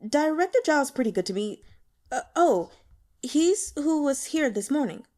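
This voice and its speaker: A woman voicing a timid young male police intern in an audiobook, halting and sheepish. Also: sits high, expressive, a little mellow, pitched above the performer's own voice. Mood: sheepish, nervous.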